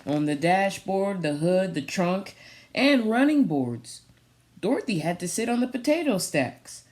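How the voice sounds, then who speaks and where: A woman reading a picture book aloud for children, pausing and adding little asides about the pictures. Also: mid-range voice, sing-song, a little mellow. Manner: pausing, pointing out pictures to young listeners. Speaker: storytime reader for a children's theatre.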